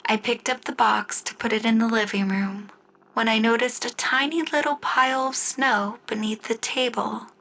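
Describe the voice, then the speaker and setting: A woman narrating and announcing in the style of a 1940s radio drama, with measured storytelling. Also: a little high, fairly even intonation, vocal fry, fairly bright tone, rough recording. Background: music score.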